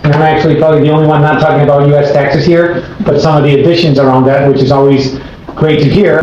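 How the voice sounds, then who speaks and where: A man's voice: medium pitch, a little mellow. A man with a partly American accent speaking informally as a tax professional on a panel. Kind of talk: informal panel introduction and questions. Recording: echoey room, dull sound, background noise.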